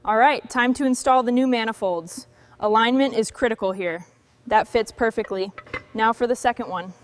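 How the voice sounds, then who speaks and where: A woman's voice: a little high, moderate pace, a little hiss or hum. A woman giving scripted narration of a restoration job in short statements.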